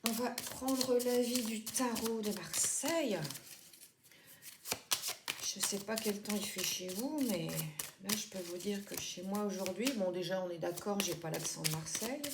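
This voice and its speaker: A woman giving a casual tarot reading, chatting and rambling with many fillers and self-corrections. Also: mid-range voice. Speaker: tarot reader. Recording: echoey room with clear background noise.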